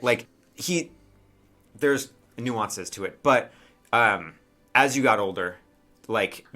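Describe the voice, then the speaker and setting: A man improvising narration as game master of a tabletop game, conversational and halting, often checking in with the players. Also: on the low side, bright, forceful tone. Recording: music behind the voice.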